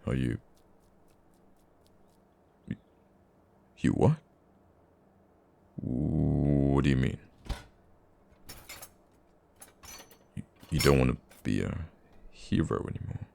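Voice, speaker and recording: A man playing a gruffly caring, teasing supervillain who comforts a nemesis in an intimate ASMR roleplay, with a deep, gravelly voice. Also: heavily acted. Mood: hesitant, taken aback. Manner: soft-hearted, teasing, halting when flustered.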